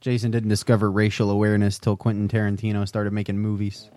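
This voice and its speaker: A man's voice: lowish voice, completely flat delivery, fast talker, warm tone, no echo. An American man co-hosting a casual podcast with a dry, wry, needling way of talking. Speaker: podcast co-host.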